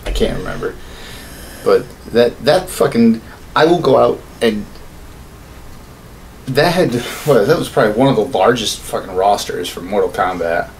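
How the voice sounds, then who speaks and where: A man's voice: on the low side, expressive, normal speed, gravelly, long pauses, a little hiss or hum, poor audio. A man in his thirties chatting casually about gaming as a podcast co-host, cursing freely in loose slang. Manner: profane, slangy. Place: small home studio room. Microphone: desk boom microphone.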